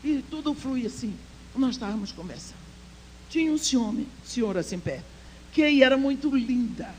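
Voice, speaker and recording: A woman whose first language is English, preaching with passion at a women's church conference, swinging between loud proclaiming and hushed storytelling. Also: high-pitched, expressive, normal speed, a little hiss or hum, poor audio. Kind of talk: sermon.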